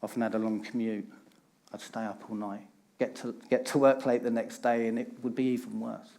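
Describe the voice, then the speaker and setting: A man's voice: mid-range voice, flat delivery, brisk, vocal fry, dark tone. A middle-aged man, giving a candid personal talk on stage and stressing points through repetition. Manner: candid, emphatic storytelling with repeated phrases. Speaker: conference speaker.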